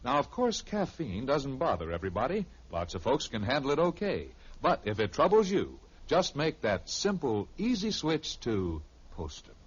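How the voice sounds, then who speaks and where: Husky, very animated American radio pitchman. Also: normal speed. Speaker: commercial pitchman. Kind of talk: 1950s radio advertisement, folksy persuasive pitch. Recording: dull vintage radio recording.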